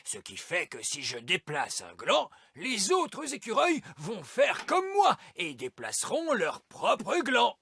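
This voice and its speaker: A man's voice: high voice, wildly swooping pitch, takes it slowly, vocal fry, hardly any pauses, sharp tone, breathy edge, dry recording, studio quality, heavily acted. An actor playing a wise old male elf in a children's cartoon, fussy, bossy and theatrically emphatic. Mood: explaining. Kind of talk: animated children's cartoon dialogue.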